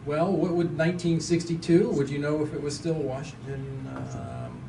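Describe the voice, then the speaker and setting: A man's voice: on the high side, lively intonation, moderate pace. Man, fifties, from Maryland, chatty, self-deprecating, roomy distant sound. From Maryland, United States. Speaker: class member. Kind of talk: casual conversation. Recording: echoey room, distant mic, noise.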